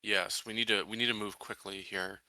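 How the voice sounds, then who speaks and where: Very bright, forceful-voiced man. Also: fairly low pitch, fairly even intonation, normal speed, dry recording. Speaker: player in a tabletop roleplaying game. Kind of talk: casual game-table talk.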